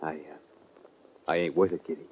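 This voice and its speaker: A man's voice: extremely low pitch, level tone, measured pace, slightly rough, full character voice. An actor playing an earnest, regretful young cowboy on the run in a 1950s radio western, plain and folksy in his talk. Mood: tender, wistful. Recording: dull, lo-fi 1950 radio recording.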